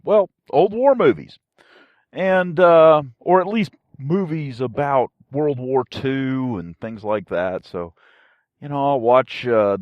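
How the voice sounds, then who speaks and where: A man hosting a casual solo podcast, rambling in a halting, folksy way as he searches for words. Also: mid-range voice, dry recording. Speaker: podcast host. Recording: dull, dry lo-fi audio.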